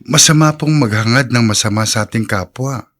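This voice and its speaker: An actor playing a conscientious Filipino grandson who speaks respectfully and with gentle reproach, in radio drama style. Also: male voice, mid-range voice, normal speed, lightly acted. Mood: reproachful, earnest. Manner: respectful, earnest, questioning.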